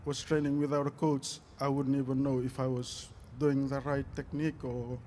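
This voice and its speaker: A man giving unscripted interview answers at a live event, halting and hesitant with many pauses. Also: mid-range voice, level tone, warm tone, slight background noise. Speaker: athlete being interviewed.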